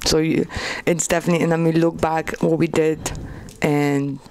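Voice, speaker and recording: Polish accent woman, giggly casual chat in a radio studio, kinda halting informal talk. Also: sits low, little pitch movement, a little mellow, a little hiss or hum. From Poland. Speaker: interview guest.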